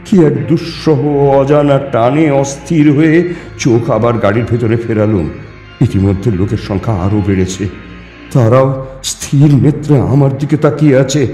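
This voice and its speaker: A man reading a ghost story in the first person, theatrical and suspenseful, with deliberate pauses and repetitions. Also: mid-range voice, warm tone. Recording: echoing reverb with music underneath. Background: background music.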